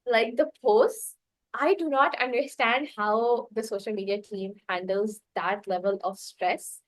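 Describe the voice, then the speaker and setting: A young woman whose first language is Urdu hosts a relaxed podcast chat. Her voice sits a little high, and she rambles through her points with plenty of "like" and easy laughter. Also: unhurried, no echo, low-quality recording. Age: young adult. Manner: chatty, laughs often, many filler likes. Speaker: podcast host.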